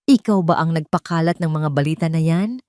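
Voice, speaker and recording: A woman's voice: low-pitched, brisk, a little mellow, no echo, clean studio sound, close to an everyday voice. Earnest male doctor, played by a woman narrator, defiant and declamatory, for dramatic audio story. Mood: angry.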